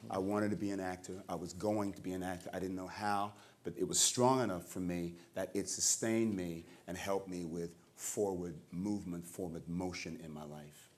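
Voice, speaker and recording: A man giving reflective personal testimony at a roundtable, halting and deliberate, restating his phrases. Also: deep voice, fairly even intonation. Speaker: roundtable participant. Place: indoor roundtable discussion at a museum.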